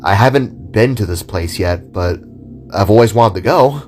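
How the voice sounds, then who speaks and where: A man's voice: lowish voice, lively intonation, normal speed, darkish tone, soft background music, natural voice. A man voicing an awkward, curious, easily awed male adventurer in an amateur audio drama. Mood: awkward, eager. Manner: mumbling, whispering, calling out in complaint.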